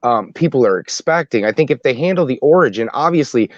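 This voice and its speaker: A man's voice: mid-range voice, expressive, fast talker, no room sound, muffled recording. A man co-hosting a podcast in casual panel discussion, often restarting his sentences as he goes. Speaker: podcast co-host.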